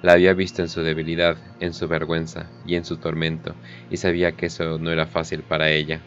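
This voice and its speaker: A man's voice: a bass voice, monotone, fast, fairly bright tone, lo-fi sound, soft background music. A man narrating a scripted war story. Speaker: audiodrama narrator.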